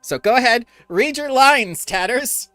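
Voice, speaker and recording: Brief: animated tabletop GM, laughs easily, wide pitch swings. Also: a little slow, dry recording, faint music behind. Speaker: game master. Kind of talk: narrating a tabletop game.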